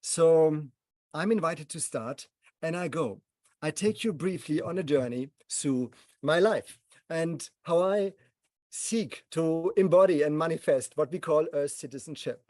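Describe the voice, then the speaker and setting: Mellow man, slow and solemn. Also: on the high side, dry recording. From Germany. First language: German. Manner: measured, speaks in short solemn phrases. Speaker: speaker at a gathering. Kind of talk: reflective personal talk.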